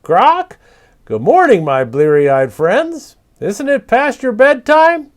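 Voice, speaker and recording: A man voicing a cheeky, mischievous male parrot with a shrill, squawking voice and a teasing, bossy way of talking. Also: huge pitch swings, slow, exaggerated voice.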